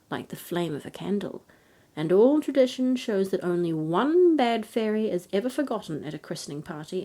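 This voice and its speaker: A woman's voice: mid-range voice, mellow, lightly acted. A woman voicing a firm, protective storybook king with an emphatic, reasoning manner. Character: firm, no-nonsense king, protective husband and father.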